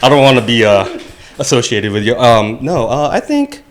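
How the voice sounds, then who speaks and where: A man's voice: medium pitch, expressive, moderate pace, a little hiss or hum. Playful, slangy man chatting casually. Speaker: podcast co-host. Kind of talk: casual joking podcast chat.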